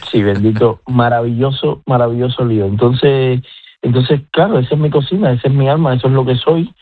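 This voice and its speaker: A man's voice: mid-range voice, dry recording. A man with a Cuban Spanish accent, a chef speaking as a guest in a radio interview, talking with many restarts. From Cuba. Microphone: telephone. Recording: tinny phone line.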